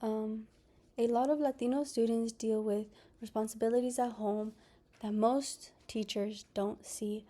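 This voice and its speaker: A young woman whose first language is Spanish gives a class presentation, partly read and partly personal, speaking softly with pauses and restarts. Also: a little high. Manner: slow and soft-spoken, with pauses and restarts. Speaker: student presenter.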